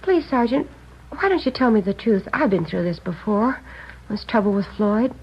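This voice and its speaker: A woman's voice: mid-range voice, expressive, moderate pace, slightly rough. An actress in 1950s radio drama playing a worried, devoted seamstress in her thirties who talks hesitantly and pleads. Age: 30s. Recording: muffled old radio broadcast with hiss.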